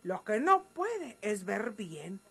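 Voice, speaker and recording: A slow, folksy rural Mexican woman. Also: medium pitch, sing-song, gravelly, fairly bright tone, slightly rough, full character voice. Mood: earnest, evasive. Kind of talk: Mexican radio drama acting.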